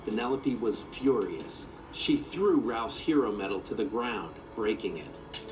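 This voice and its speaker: A man's voice: fairly low pitch, animated, a little slow, noisy background. A man reading a children's story aloud as its narrator.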